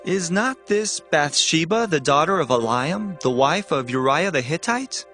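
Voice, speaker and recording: An actor playing a royal servant in a dramatized scripture reading, informing his king in a formal, measured manner. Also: fairly high pitch, lively intonation, a bit bright. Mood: informative. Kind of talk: dramatized scripture audio acting. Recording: music behind the voice.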